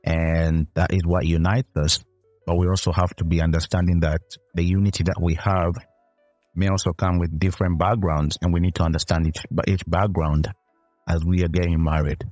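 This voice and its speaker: A man's voice: low-pitched, little pitch movement, normal speed, a little mellow, no room sound, soft background music. A man co-hosting a faith podcast, speaking earnestly and building his argument like a preacher. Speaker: podcast co-host. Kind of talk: casual chat on faith.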